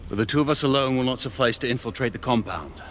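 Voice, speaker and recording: A man's voice: medium pitch, fast, a bit bright, a little hiss or hum, close to an everyday voice. A man plays a proper, aristocratic British knight commander in a Victorian game drama. His voice is clipped, formal and skeptical, over faint background ambience. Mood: guarded. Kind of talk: video game cutscene acting, period drama.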